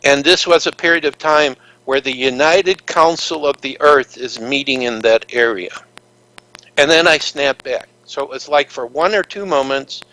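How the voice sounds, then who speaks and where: A man's voice: medium pitch, fairly bright tone, low-quality recording. A man being interviewed, telling long stories in a rambling, earnest way with many fillers and restarts. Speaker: interview guest, author. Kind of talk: casual interview storytelling.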